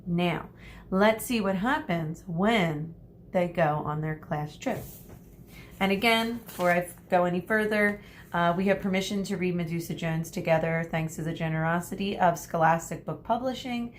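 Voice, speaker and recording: A woman's voice: lowish voice, normal speed, a little hiss or hum. A woman schoolteacher talking chattily and encouragingly to her pupils as she leads a storybook read-aloud. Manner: encouraging, addresses children directly. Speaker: primary school teacher.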